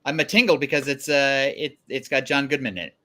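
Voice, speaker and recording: A man's voice: mid-range voice, brisk, pushed and bright. A man hosting a casual interview in a conversational style. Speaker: host.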